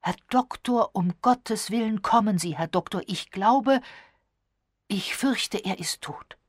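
A woman's voice: fairly low pitch, fairly bright tone, breathy edge, dry recording, studio quality. A woman voicing an agitated, disbelieving man in a storybook, speaking urgently and exclaiming. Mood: panicked. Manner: urgent, exclaiming, halting repetitions.

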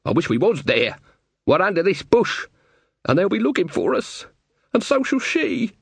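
Whining rustic villager acted by a man, fearful, tearful, husky, wide swooping pitch, storybook character. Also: expressive, moderate pace, a bit bright, dry recording, full character voice. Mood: tearful wailing. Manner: wailing, moaning, ungrammatical rustic speech.

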